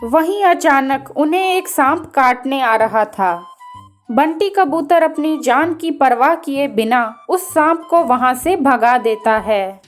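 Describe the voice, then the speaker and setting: A woman reads a scripted story for children. Her voice is notably high and her pacing slow. Faint music accompanies her. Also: expressive. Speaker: narrator.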